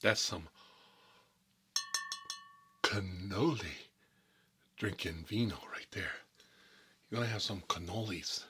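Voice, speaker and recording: A man playing an exasperated mob boss in a comedy monologue, rambling in tough-guy slang and repeating himself, with a husky voice. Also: low-pitched, slow, pauses often, bright, forceful tone, slight background noise, exaggerated voice. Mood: laid-back, rambling. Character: exasperated mob boss telling family stories. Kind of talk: rambling comedic storytelling in character, between whispers and outbursts.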